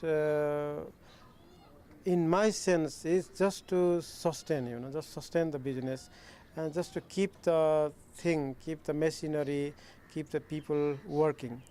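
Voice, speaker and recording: A man with a Nepali accent speaking unscripted in an interview, haltingly and repeating his phrases. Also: fairly high pitch, steady tone, warm tone, smooth. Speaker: small business owner and manufacturer.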